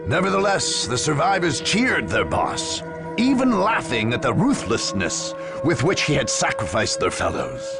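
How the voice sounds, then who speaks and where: A man's voice: mid-range voice, huge pitch swings, a little slow. A man playing a grave chronicler, giving scripted, theatrical narration of a grim war for a video game, with music behind him. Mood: grave, dramatic.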